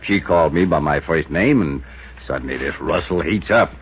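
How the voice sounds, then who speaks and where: A gruff American barman in a 1950s radio play, with an extremely low, rumbling pitch. He is defensive and streetwise, talking in blunt slang. Faint noise sits over the old recording. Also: animated, moderate pace, muffled recording. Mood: grudging confession. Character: gruff, defensive male hotel bartender, streetwise and evasive. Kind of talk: 1950s radio drama acting.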